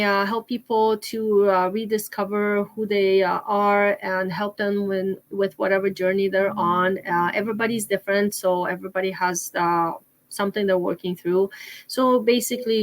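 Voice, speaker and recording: A middle-aged woman from Poland, an author answering interview questions with many fillers and restarts. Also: mid-range voice. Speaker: author and guest.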